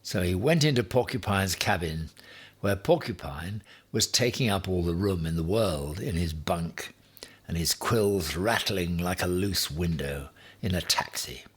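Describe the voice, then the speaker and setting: Slow, husky man reading a storybook. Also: deep voice, lively intonation. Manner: deliberate, with dramatic emphasis. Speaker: story reader. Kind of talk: expressive children's storybook narration.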